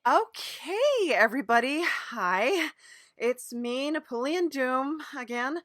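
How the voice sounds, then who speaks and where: A woman hosting a casual improvised comedy interview, asking questions in a hesitant, deadpan way. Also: high-pitched, slow, no echo. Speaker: podcast host and interviewer.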